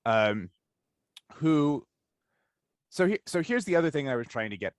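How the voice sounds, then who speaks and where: A man hosting a casual discussion interview, talking informally and haltingly as he thinks out loud in rambling questions. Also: a little high, sing-song, clean tone, no echo, clean studio sound. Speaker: interview host.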